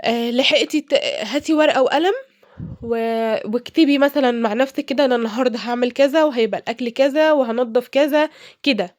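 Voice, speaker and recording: A woman's voice: high-pitched, fast, no echo, studio quality. A young woman with an Egyptian accent, congested from a cold, chatting casually with many fillers as she cooks and gives advice. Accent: Egyptian Arabic. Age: young adult. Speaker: cooking vlogger. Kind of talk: casual advice while cooking.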